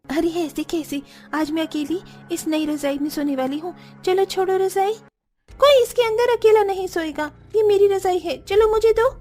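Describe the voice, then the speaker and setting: A woman's voice: very high voice, moderate pace, warm tone. A woman voicing a bratty, lazy young sister-in-law in a cartoon, whiny and demanding. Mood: quarrelsome. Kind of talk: Hindi cartoon dialogue acting.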